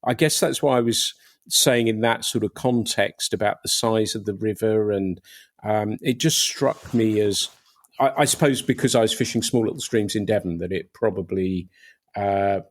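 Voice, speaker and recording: A man's voice: fairly low pitch, moderate pace. A man hosting a podcast interview, speaking in a hesitant, thoughtful way as he searches for words. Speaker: podcast host.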